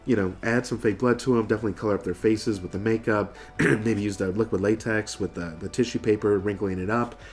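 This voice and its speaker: A man's voice: lowish voice, fairly even intonation, fairly quick, hardly any pauses. An American man in his thirties, nasal from getting over a cold, casually explaining things to camera as a video host. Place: furnished room indoors.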